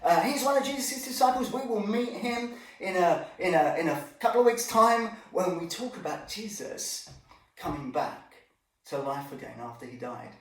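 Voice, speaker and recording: A man's voice: high-pitched, moderate pace. Echoey, distant man telling children Bible stories. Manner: animated, with exclamations and asides to the young audience. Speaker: children's Bible storyteller and church teacher. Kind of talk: informal storytelling lesson for children. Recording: echoey room, distant microphone.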